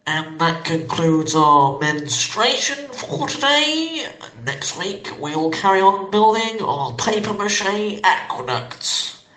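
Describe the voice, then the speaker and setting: A man playing a solemn, eccentric lecturer with a measured, theatrical, declamatory delivery, in a comic audio sketch. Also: sits high, big pitch swings, sharp tone, exaggerated voice. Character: solemn, eccentric lecturer giving absurd announcements. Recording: echoey room.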